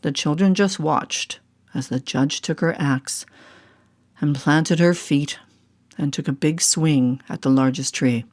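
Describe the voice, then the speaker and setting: A woman reading a children's story in a theatrical, deliberate narrating style. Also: low voice, a little mellow. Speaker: storyteller, voice actor.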